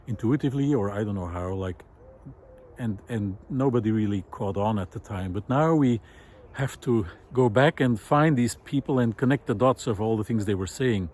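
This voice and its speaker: A man's voice: mid-range voice, darkish tone, a little hiss or hum. A man hosting a vlog, giving a reflective, unscripted talk with thoughtful, hesitant phrasing while walking outdoors. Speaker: vlog host. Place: outdoors in a snowy park, walking.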